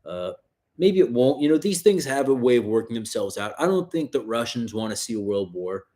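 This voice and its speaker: Profane, rambling livestream host. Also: male voice, mid-range voice, big pitch swings, brisk, dark tone. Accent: New York area. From US tri-state area. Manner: loose and profane, rambling with fillers. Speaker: livestream host answering viewer questions. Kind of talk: casual livestream Q&A.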